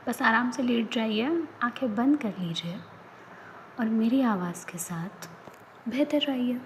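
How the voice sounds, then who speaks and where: A woman leading a guided yoga nidra relaxation, speaking in soft, often whispered instructions. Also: fairly high pitch, a little slow, a little hiss or hum, low-quality recording. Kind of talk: guided meditation.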